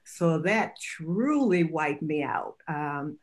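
An older woman, a retired grandmother, speaking as a panelist on a video call from home in a slow, reflective way. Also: low-pitched, animated. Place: home room, indoors.

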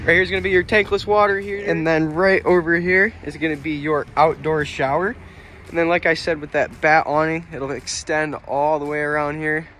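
A young man giving a relaxed, plainspoken walkaround tour of a small camping trailer, filmed on a handheld phone outdoors and then inside. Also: on the high side, normal speed, a little hiss or hum. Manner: relaxed, plainspoken, pointing things out as he goes.

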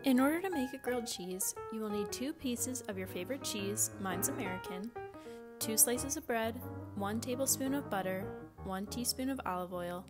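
A woman's voice: a little high, animated, moderate pace. Young woman giving instructions over music. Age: 20s. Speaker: cooking show presenter and instructor. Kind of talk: step-by-step instructional narration for children.

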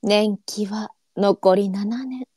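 A woman playing a tender-hearted, melancholy courtesan of old Japan in a live voice drama, speaking softly and demurely in courtesan dialect. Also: medium pitch, steady tone, very slow, slightly rough, no echo, studio quality. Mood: resigned, reflective. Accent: courtesan arinsu dialect. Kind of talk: online live voice drama acting.

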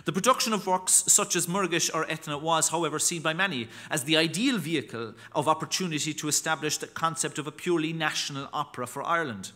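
Bright-voiced man reading a lecture. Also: on the high side. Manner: formal, measured reading with occasional restarts. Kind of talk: reading a prepared academic talk. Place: chapel lectern. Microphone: lectern microphone.

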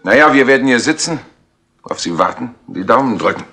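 An actor playing a patronising, irritable official with brisk authority in a 1960s German radio crime drama. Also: male voice, medium pitch, expressive, sharp tone, faint music behind. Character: irritable, patronising official running a secret mission. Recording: slightly noisy old radio tape.

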